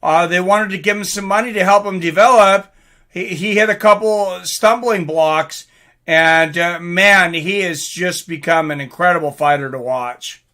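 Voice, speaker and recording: A man hosting a live fight stream, excitable and informal, mixing commentary with casual chat to viewers. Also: sits high, unhurried. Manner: excitable, informal, swearing, reacting aloud.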